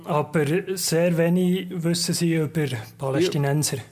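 A man's voice: fairly high pitch, steady tone, a little mellow. A man interpreting a guest speaker's talk phrase by phrase in a church. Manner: quick rendering, occasional restarts. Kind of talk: live consecutive interpreting.

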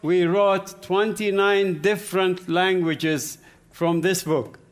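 A man from the Gaza Strip giving a church testimony in short phrases, pausing for an interpreter. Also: sits high, fairly even intonation. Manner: speaks in short phrases with pauses for translation. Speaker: guest speaker. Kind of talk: testimony talk with live interpreter.

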